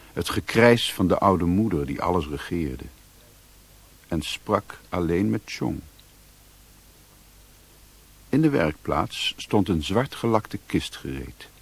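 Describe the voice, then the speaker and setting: Narrator for radio play: very low, husky, measured, sombre, literary storytelling. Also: male voice, exaggerated voice. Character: reflective male narrator recounting life abroad. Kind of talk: scripted radio drama narration.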